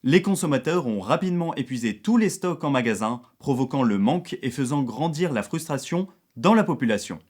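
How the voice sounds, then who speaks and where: A man acting as a television news anchor in a radio drama. He has a mid-pitched voice and a formal, announcing manner. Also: lively intonation, a little fast, few pauses, darkish tone, close to an everyday voice. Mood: formal, urgent. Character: male TV news anchor, formal, secretly craving the apples. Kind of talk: French news bulletin acting in an audio drama.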